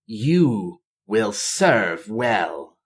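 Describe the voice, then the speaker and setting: Storybook villainess, voiced by a man: undead elf, sing-song and gloating, swooping pitch, drawn-out delivery. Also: very animated, heavily acted. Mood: mocking, gloating. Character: mocking undead elf woman villain, taunting, triumphant. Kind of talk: dramatic storybook character voice.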